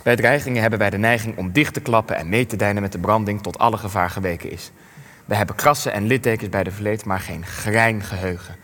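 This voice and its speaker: Radio play role: old veteran, deep-ish, deliberate, defensive and tired, with long hall reverb. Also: male voice, steady tone, fairly bright tone. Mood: bitter, reflective. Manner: deliberate, rhetorical, halting with hesitations. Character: weary, defensive elderly war veteran being interviewed. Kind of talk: Dutch radio play acting.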